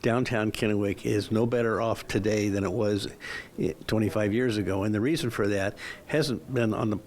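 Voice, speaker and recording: A man's voice: fairly low pitch, fairly even intonation, moderate pace, a little mellow. A man running for city council, giving firm, opinionated answers in a news interview.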